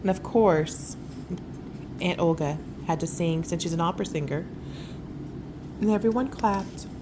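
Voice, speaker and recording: A woman reading a children's story aloud in a casual, expressive way, with conversational asides. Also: lowish voice, a little slow, noisy background. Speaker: storytime reader.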